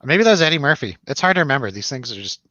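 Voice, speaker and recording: A man's voice: medium pitch, sing-song, very fast, pushed and bright, no echo, lo-fi sound. A man hosting a casual film review chat, rambling and jokey, putting questions to his co-host. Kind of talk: casual chatty film discussion over drinks.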